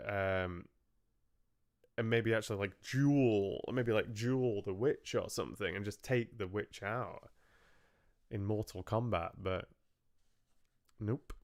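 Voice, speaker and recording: Smooth low-voiced man in casual chat. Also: takes it slowly, long pauses, no room sound, clean studio sound. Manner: rambling, chatty, jokey. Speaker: live book club host and reader. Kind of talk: casual chat, musing on fairy tales with live chat.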